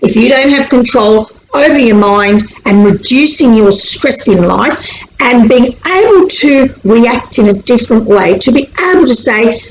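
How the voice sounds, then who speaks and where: A woman with an Australian accent, born in Sri Lanka, explaining anxiety and the brain in a chatty, animated way, acting out worried inner voices. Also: on the high side, unhurried. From born in Sri Lanka, raised in Australia. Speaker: anxiety educator on video. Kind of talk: casual explanatory talk to camera. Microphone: camera mic. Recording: echoey room with faint hiss.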